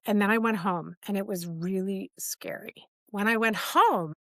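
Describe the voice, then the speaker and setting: A woman's voice: medium pitch, a bit bright, no room sound. A middle-aged woman hosting a podcast, candidly telling a personal story in casual conversation and acting out quoted dialogue. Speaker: podcast host.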